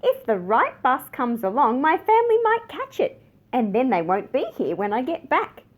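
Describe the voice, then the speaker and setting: A woman's voice: high-pitched, huge pitch swings, normal speed, heavily acted. A woman reading the part of a plucky schoolgirl in a picture book, upbeat and matter-of-fact about her lot. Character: plucky schoolgirl, making the best of homelessness. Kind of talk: picture book read aloud.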